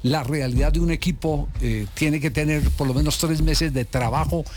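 A man's voice: fairly high pitch, moderate pace, darkish tone, slight background noise. A man hosting a sports talk show, rambling with many fillers. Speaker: sports radio host.

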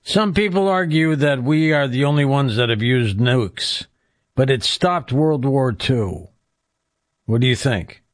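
A man's voice: mid-range voice, animated, measured pace, dry recording, clean studio sound. A man hosting a radio call-in show, putting questions to a guest and bringing in callers. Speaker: radio talk show host.

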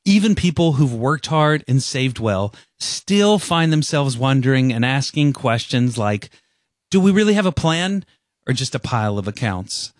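A man reading a scripted radio advertisement in a measured, persuasive way. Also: mid-range voice, animated, dry recording, clean studio sound. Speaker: ad spokesman.

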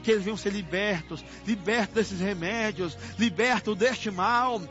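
A man's voice: sits high, a little slow, fairly bright tone. A Brazilian man preaching in fervent, pleading prayer, repeating his invocations as he goes. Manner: impassioned, pleading, repetitive invocations. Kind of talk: fervent extemporaneous intercessory prayer. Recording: muffled lo-fi audio with music behind. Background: music under the voice.

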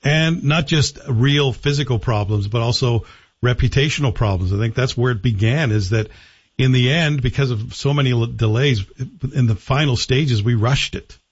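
Husky-voiced male talk radio host. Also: medium pitch, normal speed, dull audio. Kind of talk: radio interview.

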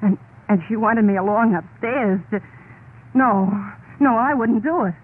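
Haunted killer wife confessing on old radio horror show, slow n intense, tinny faint-hiss sound. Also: female voice, a little high. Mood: frantic disbelief. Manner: intense, halting, insistent, repeating herself. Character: haunted, unhinged murderous wife confessing her crime. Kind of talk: old-time radio horror monologue, dramatic first-person narration. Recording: tinny, band-limited old radio recording, faint noise.

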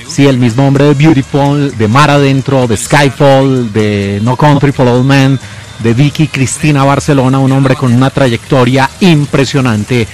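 A man presenting a film in scripted narration, giving titles and names with deliberate emphasis. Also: medium pitch, fairly even intonation, soft background music.

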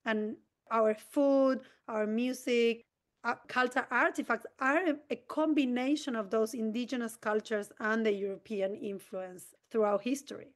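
A woman speaks as an interview guest. Her voice sits fairly high, and she explains things at length in an earnest, enthusiastic way, with the odd quick restart. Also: steady tone. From Argentina. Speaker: community organisation president.

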